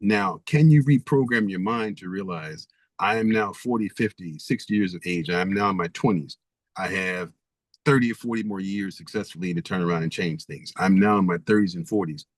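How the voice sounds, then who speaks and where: A man's voice: deep voice, a little fast, dry recording. A man guest author in an interview, speaking emphatically and stacking rhetorical questions. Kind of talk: interview conversation.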